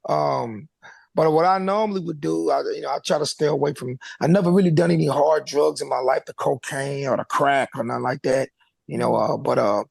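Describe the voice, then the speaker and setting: A man with a naturally raspy voice, a guest singer talking loosely and laid back in a podcast interview, full of fillers. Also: fairly high pitch, brisk, no room sound. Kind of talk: casual interview chat.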